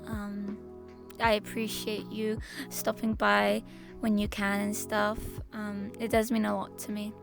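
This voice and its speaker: A woman streamer chatting casually to her viewers, her voice hoarse and raspy, rambling with stop-start restarts. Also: mid-range voice, monotone, a little slow, music in the background. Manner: rambling, stop-start, repeats herself, laughs often. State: hoarse, slightly lost voice. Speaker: VTuber streamer.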